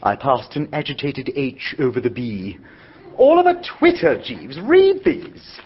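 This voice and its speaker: A man playing a breezy, flustered young gentleman who narrates his own story in a chatty, jaunty manner. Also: medium pitch, wildly swooping pitch, measured pace, breathy edge, full character voice. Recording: dull lo-fi recording.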